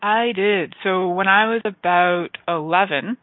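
A woman's voice: mid-range voice, unhurried, no echo. Woman, from Canada, chatty storyteller, laughing, phone-line sound, dry. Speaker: guest. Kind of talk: casual interview chat.

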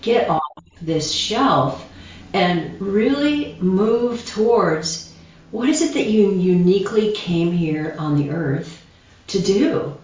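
A woman guest speaker explaining meditations calmly, in a slow, gentle, reflective way. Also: medium pitch. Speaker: guest speaker, shamanism teacher. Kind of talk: calm guided explanation. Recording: distant echoey dull video call audio.

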